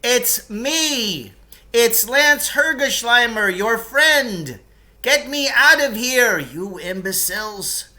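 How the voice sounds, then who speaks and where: Man putting on a high, indignant, bellowing storybook fellow. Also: lively intonation, takes it slowly, exaggerated voice. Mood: bellowing, exasperated. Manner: loud, exclamatory, exasperated. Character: fussy, indignant, self-important man from a storybook.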